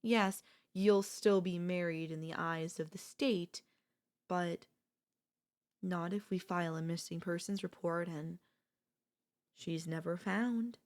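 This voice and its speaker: A woman's voice: fairly low pitch, takes it slowly, pauses often, a little mellow. A woman playing an obsessive, lovestruck and gleefully sinister older sister in an ASMR audio roleplay. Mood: scheming.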